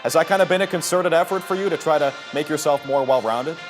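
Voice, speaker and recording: A man's voice: fairly high pitch, fast, over music. A man in his early twenties working as a sports broadcaster, with polished commentary and reporting. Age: early 20s. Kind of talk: play-by-play commentary, interviews and studio reporting.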